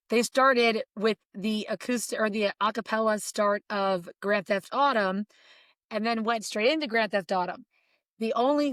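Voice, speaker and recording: Hoarse lady podcast host, chatty fan talk, dry close mic. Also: on the high side, no echo. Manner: chatty, excited fan storytelling. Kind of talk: casual podcast chat.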